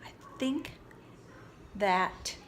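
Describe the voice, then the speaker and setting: A woman in her forties, stuffed up from allergies, talking softly and confidingly in a personal vlog, sometimes dropping to near-whispers. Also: drawn-out words. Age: 40s. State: congested from allergies. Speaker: vlogger. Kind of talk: reflective personal vlog talk. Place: sitting indoors at home.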